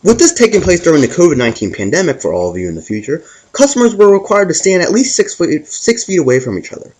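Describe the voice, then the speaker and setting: A man's voice: on the high side, very animated, fast talker, darkish tone. A man hosting a scripted comic story, narrating it with stumbles and repeated words as he reads. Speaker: host and storyteller.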